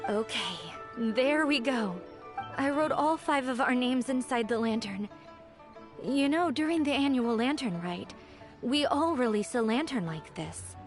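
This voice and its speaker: An actress voicing a gentle, earnest young townswoman in an anime game, polite and sincere. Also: high-pitched, animated, measured pace. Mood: earnest, nostalgic. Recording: game music behind.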